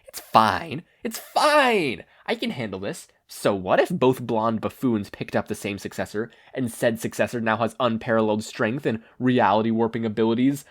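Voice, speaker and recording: A man's voice: medium pitch, expressive, normal speed, hardly any pauses. A man voicing a supervillain mastermind in frantic denial, nervously stammering and reassuring himself in a fan fiction reading. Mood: panicked denial.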